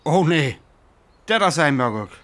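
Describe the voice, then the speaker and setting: A troubled, humane traveller played by a man speaks with a North Frisian accent in a radio play. His voice sits a little high for a man as he questions his companion. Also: big pitch swings, a little slow, fairly bright tone. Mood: dismayed.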